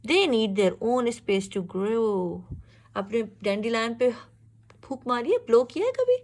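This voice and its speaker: A woman reads a children's picture book aloud in an expressive, instructive way, pausing to ask questions. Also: a little high. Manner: expressive, instructive, exclaims and checks understanding. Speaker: reader teaching a young child.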